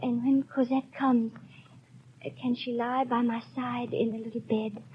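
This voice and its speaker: A woman's voice: fairly high pitch, level tone, measured pace, lightly acted. An actress playing a dying young mother in a 1930s radio drama, breathless and often whispering, weak from illness. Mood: whispered longing. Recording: dull lo-fi 1930s radio broadcast, faint hiss.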